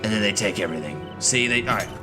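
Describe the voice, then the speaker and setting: A man with a fairly low voice commentates casually on the game he is playing, grumbling and swearing as he goes. Game music plays clearly behind him. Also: lively intonation, fast. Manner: profane, grumbling. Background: video game music.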